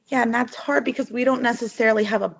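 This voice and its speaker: A woman's voice: a little high, fairly even intonation, fast. A woman taking part in a work conference call, asking questions in an informal way. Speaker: meeting participant. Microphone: online conference call.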